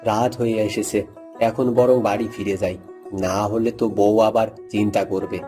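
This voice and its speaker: An actor playing a kindly, modest village tea seller in a cartoon, with a gentle, homely manner. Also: male voice, fairly low pitch, steady tone, normal speed, close to an everyday voice. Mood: weary. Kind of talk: cartoon voice acting. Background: faint music.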